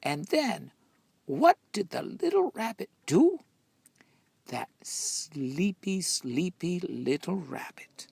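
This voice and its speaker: A man tells a children's story in a very breathy, gravelly voice that often nears a whisper. His delivery is slow and drawn-out, with dramatic expression. The sound is dry and close. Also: high voice, big pitch swings, a little mellow, dry recording. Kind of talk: expressive picture-book storytelling.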